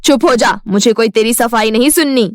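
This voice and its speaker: A woman's voice: a little high, moderate pace, no room sound, clean studio sound, voice pushed down, close to an everyday voice. A woman voicing a stern, scolding older mother-in-law in a bossy, emphatic way. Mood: angry, scolding.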